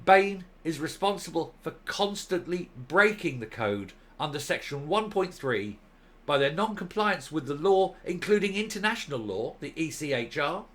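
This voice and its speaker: A male commentary host reading a document aloud and commenting on it, stressing key words with deliberate, emphatic phrasing. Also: fairly high pitch, a bit bright.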